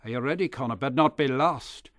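A man voicing a schoolboy bully who mocks and shouts at a weaker boy in a storytelling performance. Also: mid-range voice, quick pace, no echo, clean studio sound, lightly acted. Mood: mocking. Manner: mocking, sing-song taunts. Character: loud taunting schoolboy bully.

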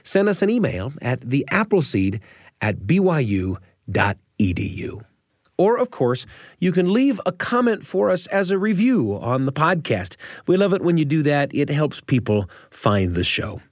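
A man's voice: fairly high pitch, very animated, moderate pace, dry recording, studio quality. An American man narrating a scripted storytelling radio show as its host.

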